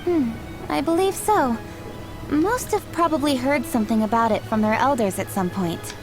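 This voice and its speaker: A gentle, knowledgeable young woman, measured and formal in her speech. Her voice sits a little high. Clear game music plays behind her. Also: animated. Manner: soft-spoken, measured, formal.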